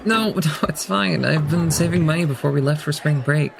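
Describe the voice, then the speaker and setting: Actress as a creaky-voiced, reserved young woman. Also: low-pitched, normal speed, background noise. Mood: shy, friendly. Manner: hesitant, shy, understated. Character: reserved, awkward young female medical student. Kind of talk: audio drama acting.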